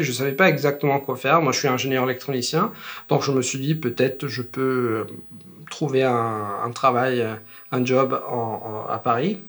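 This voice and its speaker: A man in his thirties from Italy with an Italian accent, talking as a language-teacher guest in a relaxed, rambling way with many fillers and restarts. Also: mid-range voice. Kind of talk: casual conversational interview.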